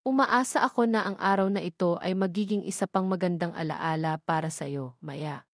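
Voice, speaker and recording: A woman voicing an earnest, tender male comic artist in an audio drama, speaking in a formal, measured way. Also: lowish voice, no echo, clean studio sound, close to an everyday voice.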